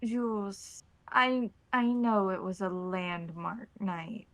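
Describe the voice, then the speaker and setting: A reserved man played by a woman in a tabletop roleplay phone call, concerned and courteous. The voice has a creaky edge and sits close and dry, with careful, formal phrasing. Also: mid-range voice, slow, no room sound. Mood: gentle, reserved.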